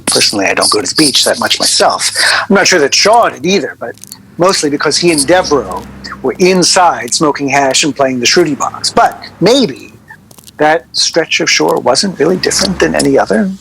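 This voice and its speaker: An actor playing a wry, exasperated record producer telling rambling anecdotes in a radio drama. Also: male voice, a little high, lively intonation, normal speed, vocal fry, a bit bright, a little hiss or hum, poor audio. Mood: wry reminiscing. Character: wry, exasperated male music producer reminiscing about eccentric artists. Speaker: record label producer being interviewed. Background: music.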